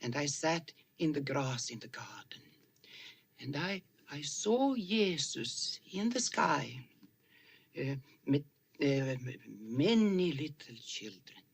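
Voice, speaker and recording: A woman playing a timid, pious missionary who speaks with a Swedish accent and is not a native English speaker, with halting, hesitant speech full of fillers. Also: deep voice, very animated, gravelly, breathy edge, exaggerated voice. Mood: nervous, halting. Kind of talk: film dialogue.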